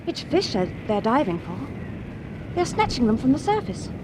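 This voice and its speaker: A young student in a radio play, imaginative and gently teasing. Her well-spoken voice is fairly high and very expressive in pitch. Clear background noise surrounds her, like an outdoor scene. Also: moderate pace. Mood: curious, alert. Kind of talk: radio drama acting.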